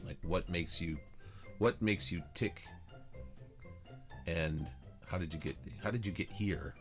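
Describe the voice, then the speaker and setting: A man's voice: deep voice, a little slow, faint music behind. A man hosting a carving class video, interviewing his student in a joking, dry, teasing way. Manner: teasing, dry humor, chuckles often. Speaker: carving instructor and channel host.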